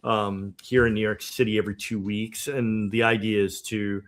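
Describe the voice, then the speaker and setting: Halting, low-voiced male show host. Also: level tone. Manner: halting, many fillers and restarts. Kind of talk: casual livestream talk.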